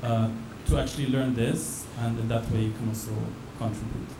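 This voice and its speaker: Flat-voiced man, matter-of-fact, echoey hall. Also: medium pitch, normal speed. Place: event venue. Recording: echoey hall with background noise.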